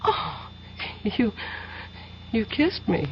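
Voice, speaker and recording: A woman's voice: medium pitch, big pitch swings, very slow, vocal fry, heavily acted. An actress playing an anxious young American woman in love in a 1970s radio drama, speaking in a breathless, fretful way. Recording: dull old radio broadcast with faint hiss.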